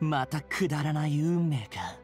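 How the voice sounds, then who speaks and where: Casting: woman to play world-weary dragon for a game. Very low, slow, sardonic, somber. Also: lively intonation, a little mellow, soft background music. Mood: scornful. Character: lonely, world-weary outcast dragon, brooding and bitter. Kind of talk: scripted game voice acting.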